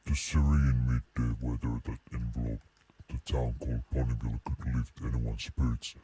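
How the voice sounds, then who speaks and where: Huge, abyssally deep demon. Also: male voice, flat delivery, warm tone, husky. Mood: solemn, menacing. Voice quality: pitched-down, heavy, booming low end. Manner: measured storybook reading. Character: huge menacing demon narrating a children's pony story. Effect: pitch shifter, bass boost, compression, reverb.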